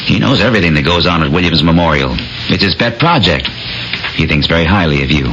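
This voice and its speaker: An actor playing an affable, confident, wealthy young fiancé in a 1970s radio drama, polite and self-assured. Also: male voice, sits low, lively intonation, quick pace, over music. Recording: dull, noisy old radio broadcast recording.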